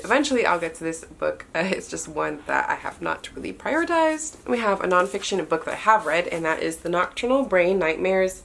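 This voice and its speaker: A young woman with a stuffy nose, chatting in a relaxed, often unsure way as she walks through the books on her shelves. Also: fairly low pitch, vocal fry. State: stuffy-nosed. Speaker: book vlogger. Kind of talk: casual bookshelf tour talk.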